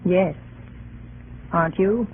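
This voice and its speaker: A woman's voice: fairly low pitch, slow, slightly rough. An actress playing a statesman's devoted, firmly urging wife in 1930s radio drama style, earnest and insistent. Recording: noisy, phone-like old 1930s radio recording.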